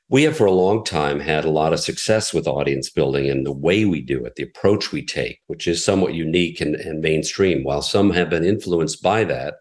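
A middle-aged man, a radio executive, answering interview questions in a relaxed, explanatory way, often repeating words as he thinks. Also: a bass voice. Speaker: radio station president, guest.

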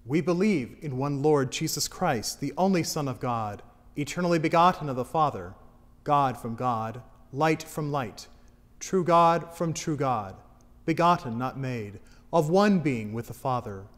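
A man leads a congregation through a creed, reciting each line in a steady liturgical cadence. His voice sits a little high. Long church reverb trails behind his words. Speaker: worship leader.

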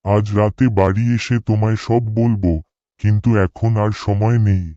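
A man's voice: fairly low pitch, little pitch movement, moderate pace, warm tone, no echo, muffled recording, lightly acted. A man voicing a dutiful, earnest village cremation-ground worker in a horror cartoon. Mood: calm. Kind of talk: cartoon dialogue and spoken thoughts.

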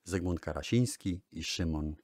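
A man's voice: deep voice, normal speed, darkish tone, no echo, studio quality. A man presenting music history in the style of a radio programme. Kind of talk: spoken music-history narration.

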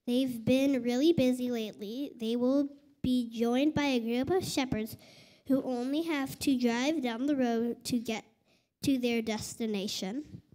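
A woman's voice: fairly high pitch, unhurried, mellow, low-quality recording. A woman reading scripted narration for a church Christmas program. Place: church hall.

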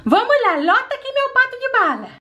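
A woman's voice: very high voice, very animated, a little fast, bright, forceful tone, slight background noise. A woman voicing a greedy, cheeky little girl doll in a playful doll storytelling video. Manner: playful, childlike chatter. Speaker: channel host voicing dolls.

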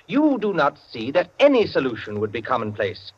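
An actor playing a pompous, argumentative intellectual in 1940s radio drama, lecturing in precise phrases. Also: male voice, mid-range voice, wildly swooping pitch, a little fast, husky. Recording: muffled 1940s radio recording.

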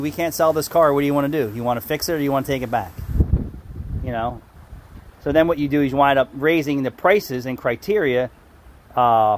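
Fed-up man in his fifties, blunt rant, phone at arm's length, outdoors, faint noise behind. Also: medium pitch. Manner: angry, blunt, swears and rambles. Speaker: car repair shop owner. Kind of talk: ranting vlog to camera. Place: outdoors beside a building. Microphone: phone held at arm's length.

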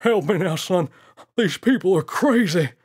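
A man's voice: high-pitched, animated, measured pace, breathy edge, voice pushed up, exaggerated voice. A man voicing a mischievous, cocky gorilla in a children's storybook, with a taunting, slangy wise-guy manner. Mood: wheezing, panicked.

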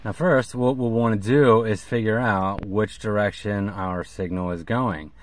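A middle-aged man giving a casual, hands-on how-to in a halting way, recorded on a handheld camera outdoors by a vehicle. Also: lowish voice, fairly even intonation, no echo. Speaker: CB radio hobbyist presenter. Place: outdoors in and beside a parked vehicle in an open field. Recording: clear background noise, outdoor ambience.

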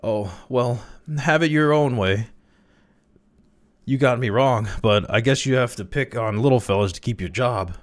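A meek little clerk of thirty, played by a male narrator. He is timid and hesitant, quavering earnestly through the story, his pitch pushed up and wobbling widely. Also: very animated, normal speed, few pauses, a little mellow. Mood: resentful, defeated. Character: meek, timid, weak-chinned thirty-year-old office clerk. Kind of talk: dramatized story reading.

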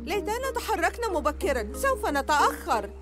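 Kindly practical mummy pig, high lively voice. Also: female voice, fairly quick, music in the background. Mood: gentle. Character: sensible, gentle mother pig.

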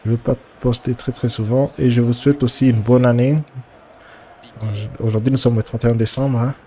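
A man's voice: medium pitch, steady tone, warm tone, a little hiss or hum. Congested man casually commentating a football game. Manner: halting, repeats words while thinking aloud. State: congested with a cold. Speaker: gaming streamer.

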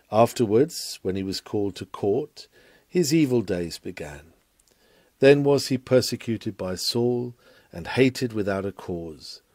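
A man reading a scripted sermon aloud in a formal, measured, oratorical manner. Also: mid-range voice, warm tone, no echo, clean studio sound. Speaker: reader of a sermon.

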